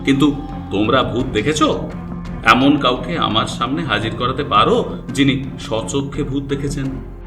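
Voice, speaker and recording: A man's voice: mid-range voice, moderate pace. A young man narrating his own story in the first person, with a confident and skeptical manner. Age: young adult. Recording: distant echoey voice with background music.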